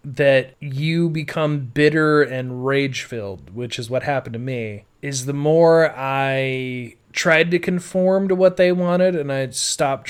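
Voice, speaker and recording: A man's voice: medium pitch, clear, resonant tone. A man telling his own life story in a casual, rambling, conversational audio-blog monologue, peppered with "like" and "you know". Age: adult. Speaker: podcaster.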